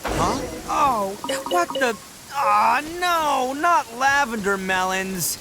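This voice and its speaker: An actor playing a boastful, big-hearted young horned oni gang boss in a video game, with a cocky, theatrical, slangy delivery. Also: male voice, extremely high pitch, expressive, takes it slowly, noisy background, exaggerated voice. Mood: excited, dismayed. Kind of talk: animated video game voice acting.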